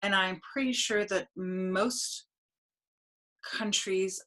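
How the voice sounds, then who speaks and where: A woman, hosting a spiritual podcast over a video call, speaking earnestly with halting restarts. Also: mid-range voice, fairly even intonation, a bit bright. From Denmark. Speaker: podcast host. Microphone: video call webcam.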